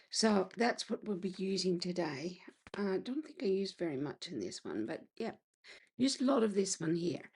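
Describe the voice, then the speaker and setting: Slow, gravelly woman with croaky voice. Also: medium pitch, mellow. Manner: chatty, clears throat often. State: slightly croaky voice. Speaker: card-making crafter. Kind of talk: casual craft tutorial.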